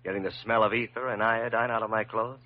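A defensive young man played by a male actor talks quickly with put-on breeziness. His manner is flip and clipped, in classic radio drama style, on a dry, muffled old recording. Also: lowish voice, fairly even intonation, no room sound, lightly acted. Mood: forced cheer.

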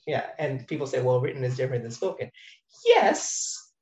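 A man's voice: medium pitch, wildly swooping pitch, moderate pace, a little mellow, a little hiss or hum. A man in casual conversation, thinking aloud in a rambling way with many fillers and restarts. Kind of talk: casual discussion of language teaching. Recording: roomy, dull-sounding audio.

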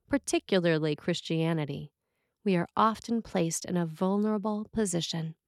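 A woman's voice: mid-range voice, a little mellow, no room sound. Woman reading a sermon, close dry sound. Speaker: preacher reading a written sermon.

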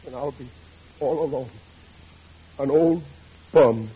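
A man's voice: mid-range voice, slow pace, dark tone, breathy edge. An actor playing a melodramatic, woeful middle-aged working-class father in 1940s radio comedy style, whining and ungrammatical. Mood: mournful daydreaming. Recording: dull noisy old radio recording.

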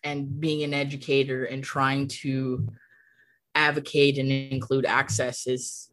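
Young woman, low pitch, casual chat, laughs often, podcast guest. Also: little pitch movement, slow, a bit bright, rough recording. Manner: chatty, laughs often, fillers like "like". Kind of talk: casual conversation.

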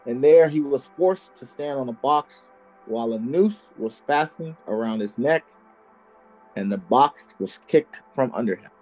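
A man's voice: medium pitch, expressive, unhurried, darkish tone, lo-fi sound, soft background music. A man co-hosting a podcast, telling a true crime story in casual chat and laughing often. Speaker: podcast co-host.

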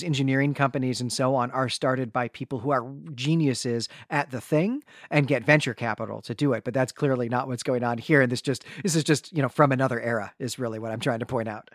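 A man with a mid-pitched voice talks as a podcast co-host, walking through a story conversationally. His delivery is explanatory with a wry edge, and the sound is close and dry. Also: no echo. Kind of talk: conversational book discussion and plot recap.

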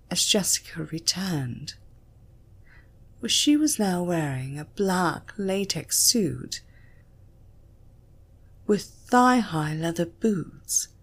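A British woman narrating an erotic story in a breathy voice that often drops toward a whisper. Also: on the low side. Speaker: audiobook narrator.

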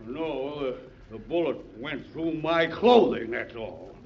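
An actor playing a proud, mannerly gentleman duelist in an old-time radio drama, formal and deliberate. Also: medium pitch, animated, vocal fry. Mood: conciliatory. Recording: dull old radio recording, some noise.

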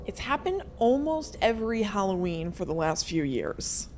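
Woman actor, mid pitch, dry and cool, naturalistic audio drama, faint background noise. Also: sing-song, moderate pace, a little mellow. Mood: coolly menacing. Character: dry, coolly matter-of-fact female friend with dark secret.

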